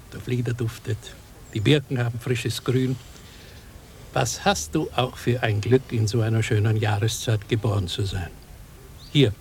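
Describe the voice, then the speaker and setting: Male actor as village schoolmaster, mild, learned, gently explaining, mid-low pitch. Radio play on hissy cassette. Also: natural voice. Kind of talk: radio drama acting. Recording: hissy old cassette recording.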